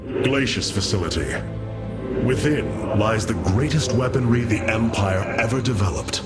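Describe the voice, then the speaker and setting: Noble alien warrior leader for a game, slow formal commanding delivery, loud music behind. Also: male voice, lowish voice, lively intonation. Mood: solemn, resolute. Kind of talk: dramatic video game voice acting.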